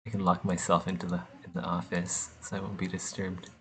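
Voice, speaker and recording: A man's voice: deep voice, monotone, fairly quick, creaky, fairly bright tone, slight background noise, rough recording. A man from the United States chatting casually and joking as he works, laughing often between rambling asides. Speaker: artist streaming while sculpting. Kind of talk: casual chat while working.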